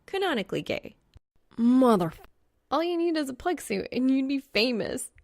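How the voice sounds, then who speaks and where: Woman voicing a teasing, higher-pitched gamer girl. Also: sing-song, normal speed, gravelly, darkish tone, exaggerated voice. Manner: teasing, blunt, amused. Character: teasing, giggly, bold young woman gamer.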